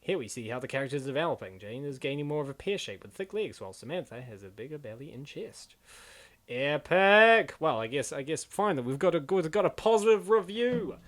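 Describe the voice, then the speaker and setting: A man's voice: fairly high pitch, very animated, a little fast, a bit bright. A man giving casual, off-the-cuff commentary in a rambling, jokey way. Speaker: commentator on webcomics.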